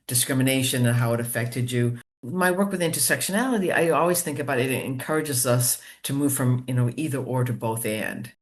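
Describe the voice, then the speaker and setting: Guy interviewing an author, earnest, rambling questions, lots of ums, normal pitch.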